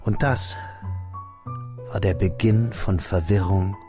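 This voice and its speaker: Man, low voice, solemn measured literary narration, radio drama, music in background.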